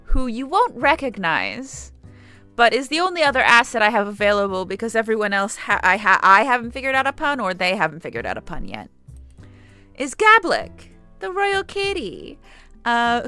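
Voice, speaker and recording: Bright-voiced young woman streamer. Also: on the high side, sing-song, normal speed, smooth, soft background music. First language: Samayach. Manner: rambling, chatty, swears casually. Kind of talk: casual gaming stream chat.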